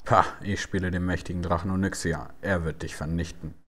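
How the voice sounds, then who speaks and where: A man's voice: sits low, steady tone, fairly quick. An actor playing a boastful, gloating male card-game finalist.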